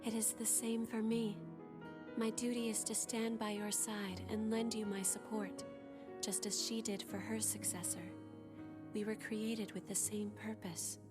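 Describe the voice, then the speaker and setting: An actress playing a devoted young female attendant in a video game, calm, formal and measured. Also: medium pitch, lightly acted. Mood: gentle devotion. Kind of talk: dramatic video game voice acting. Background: game soundtrack music.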